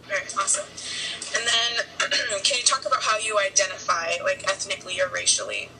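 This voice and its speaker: A woman's voice: mid-range voice, lively intonation, brisk, recorded from a distance, noisy background. A woman conducting an interview, asking rambling questions full of likes.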